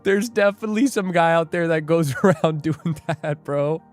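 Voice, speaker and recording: A man's voice: a little high, moderate pace, faint music behind. A young man hosting a live trading stream, joking and rambling as he reads viewer comments aloud. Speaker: livestream day trader host. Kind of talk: casual livestream chat.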